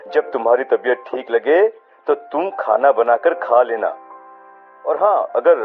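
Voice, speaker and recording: A man's voice: mid-range voice, lightly acted. A man playing a reassuring, mildly dismissive young husband in a horror cartoon. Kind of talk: dramatized horror story voice acting. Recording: muffled band-limited audio with music.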